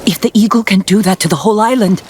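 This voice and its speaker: Actress playing concerned woman, loyal ally, urgent, mid-pitched, video game drama, faint background noise. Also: fast talker, natural voice. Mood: worried. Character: worried, loyal ally of a samurai. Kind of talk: cinematic video game acting.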